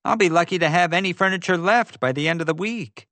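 A man's voice: high-pitched, fast talker, sharp tone, no room sound, pitched above the performer's own voice. A man voicing an indignant, fed-up woman scolding someone in an audiobook. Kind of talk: audiobook character voice.

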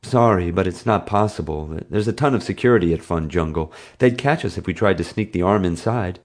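A man's voice: deep voice, fairly quick. A man voicing a smart, anxious schoolboy who explains things and gets evasive under pressure, in an audiobook story. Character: smart, anxious schoolboy, son of zoo scientist.

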